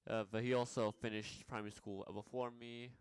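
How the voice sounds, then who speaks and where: A man's voice: medium pitch, little pitch movement, fairly quick, smooth, no room sound. A young man from Nepal telling his life story in a rambling vlog, with many fillers and restarts. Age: young adult. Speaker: vlogger.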